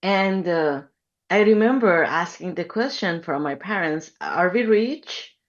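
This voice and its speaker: A woman in her fifties from Iran, speaking as an interview guest, reflective and thoughtful, pausing often with ums. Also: fairly low pitch, animated, lo-fi sound. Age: 50s. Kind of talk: conversational interview.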